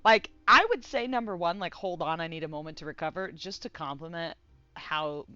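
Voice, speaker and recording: A woman streamer giving casual, giggly, excitable and profane live commentary on a game. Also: on the low side, fairly quick, dry recording, muffled recording, faint music behind.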